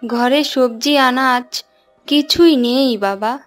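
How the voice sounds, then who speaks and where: Female actor as worried mother in an animated village drama: high pitch, unhurried, tender, plaintive. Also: soft background music. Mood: apologetic, tender. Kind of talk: cartoon family drama acting.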